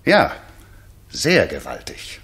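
An actor playing a dignified, probing grey-bearded stranger in disguise in a 1960s German radio play. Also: male voice, extremely low pitch, huge pitch swings, takes it slowly, a bit bright, heavily acted. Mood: dry, ironic.

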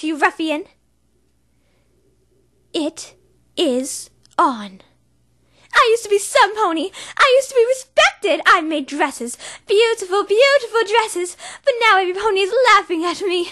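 A woman's voice: very high voice, big pitch swings, unhurried, pushed and bright, full character voice. A woman voicing a refined, theatrical female cartoon unicorn with a posh, melodramatic manner. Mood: haughty. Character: dramatic refined female unicorn, fashion-loving diva. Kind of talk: cartoon voice acting reel.

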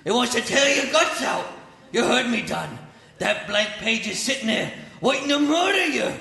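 A man playing a gruff, pugnacious old-school cornerman, urging in streetwise slang in a comic radio play. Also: very high voice, expressive, moderate pace, sharp tone, heavily acted. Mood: gruff goading. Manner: streetwise slangy urging, tough-guy grammar. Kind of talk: comic radio theater acting. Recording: live theater stage, reverberant, faint noise.

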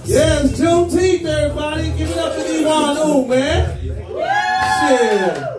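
High-voiced man hosting an open mic. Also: a little slow. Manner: loose, joking, hyping the crowd. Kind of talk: emcee banter. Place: small barbershop comedy venue. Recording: roomy, from far off, music behind.

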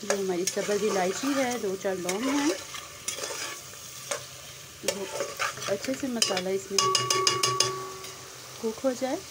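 A woman's voice: medium pitch, slow pace, pauses often. A woman, hosting a cooking channel and explaining a recipe step by step to her viewers in a friendly way. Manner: friendly, addresses viewers directly, mixes in English words. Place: home kitchen. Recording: noisy phone recording over sizzling pan. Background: frying and sizzling.

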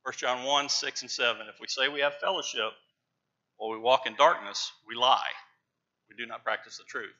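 Bright-voiced man preaching a sermon. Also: medium pitch, steady tone, normal speed. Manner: emphatic, stresses words with rising loudness. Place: large church sanctuary with pews. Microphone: lapel mic, far camera. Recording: dull church livestream audio.

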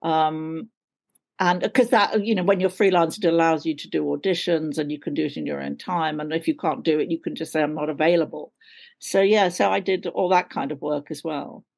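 Older woman, low and gravelly. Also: fairly even intonation, normal speed, darkish tone. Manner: wry, self-deprecating, bantering. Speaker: guest, actor. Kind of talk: casual interview chat. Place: at home on a video call.

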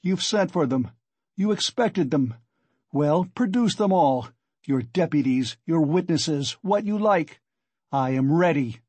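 A feverish, cornered young man acted by a male audiobook reader spits out defiant demands. His voice is pitched high and slightly rough, furious and agitated, in short bursts, recorded very dry. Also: lively intonation, unhurried, darkish tone, no echo. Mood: frantic, challenging. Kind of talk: audiobook character dialogue.

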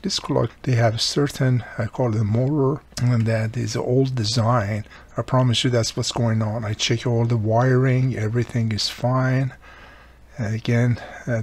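A man with a husky, gravelly voice, explaining a hands-on repair in a plain-spoken, informal way. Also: mid-range voice, darkish tone. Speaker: host of a radio repair how-to series.

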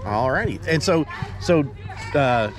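A folksy, middle-aged Texan man talks into a camera held at arm's length while standing in an outdoor park. His voice sits a little higher than most men's, and he chats loosely, often restarting his words. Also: animated, a little slow. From Texas. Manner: chatty, folksy, repeats words while thinking. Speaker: vlogger host. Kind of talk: casual selfie vlog.

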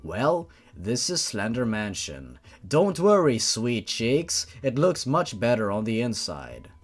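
A man's voice: medium pitch, wildly swooping pitch, slow pace, faint music behind, pitched below the performer's own voice, full character voice. A man voicing a brash, teasing male killer in a story reading, flippant and casual.

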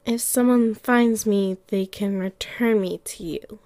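A woman's voice: mid-range voice, fairly even intonation, no echo, lightly acted. A woman voicing a playful, clingy young catgirl girlfriend in an ASMR roleplay, affectionate and cooing, close to the mic. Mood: puzzled, reluctant. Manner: soft, affectionate, slow with long pauses, cooing.

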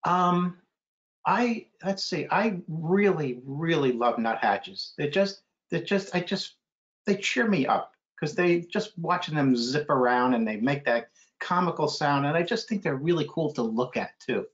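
A man's voice: a little high, animated, a little slow. A middle-aged American man chatting casually as a guest author, answering questions on a video call. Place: indoor room on a video call. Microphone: computer video call. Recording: dull, lo-fi video call audio.

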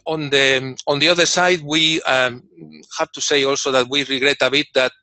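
A man's voice: mid-range voice, steady tone, moderate pace, pushed and bright, no room sound. A man who speaks as his gives a prepared conference keynote over a video call, with many fillers and repeated words. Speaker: industry representative giving a keynote. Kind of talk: prepared conference talk read from a script.

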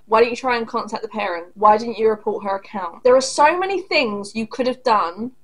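A woman's voice: fairly high pitch, fairly bright tone, low-quality recording. A woman in her thirties hosting a reaction video, talking bluntly and angrily with lots of swearing, recorded at a desk in a small home room. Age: 30s. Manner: angry, profane, blunt and sarcastic. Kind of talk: casual reaction commentary.

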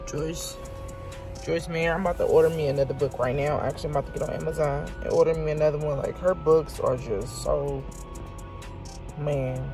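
A woman vlogging from inside her car, reading aloud haltingly and chatting straight to her viewers. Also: sits low, steady tone, over music. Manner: halting read-aloud, stumbles and restarts; chatty, talks directly to viewers. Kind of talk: casual vlog, reading aloud.

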